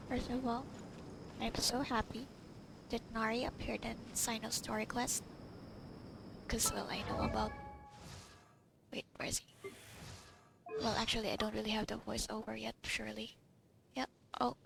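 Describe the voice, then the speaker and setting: A woman's voice: on the high side, gravelly. A woman giving casual, informal reaction commentary as she plays a video game, often in muttered asides. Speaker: gamer recording her playthrough. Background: game music.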